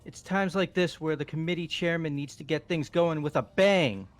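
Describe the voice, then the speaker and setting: A man's voice: a little high, normal speed, faint music behind, close to an everyday voice. A man playing a laid-back young man awkwardly taking charge in a fan-voiced visual novel, casual and slangy. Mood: casual, upbeat.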